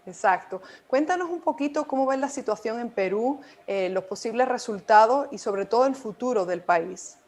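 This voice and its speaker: A woman's voice: fairly high pitch, lively intonation, normal speed, few pauses, darkish tone. A woman hosting an interview, introducing her guest and putting questions to him. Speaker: interview host.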